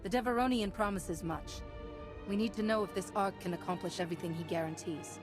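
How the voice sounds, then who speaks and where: Woman acting as a calm decisive game heroine, mid-range voice, formal, music clearly playing behind her. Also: mid-range voice, a little fast, lightly acted.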